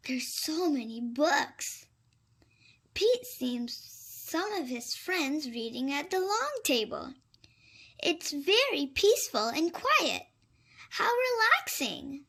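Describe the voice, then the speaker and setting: A woman's voice: sits high, gravelly, sharp tone. A woman reading a picture book aloud to young children, expressively and with exaggerated wonder. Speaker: children's storybook reader.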